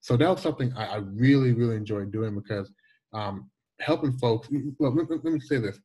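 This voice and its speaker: Brief: Jamaican-accented man, 40s, husky, slight lisp, animated storyteller who restarts his words. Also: mid-range voice, fairly quick. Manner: animated, repeats words and restarts, laughs easily. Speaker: guest. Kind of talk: conversational storytelling interview.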